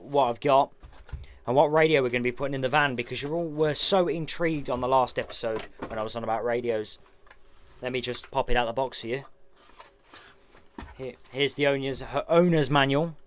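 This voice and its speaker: A man casually unboxing a radio, chatty and hands-on, narrating each step as he goes. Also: medium pitch. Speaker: vlogger unboxing radio gear. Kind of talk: casual product unboxing walkthrough.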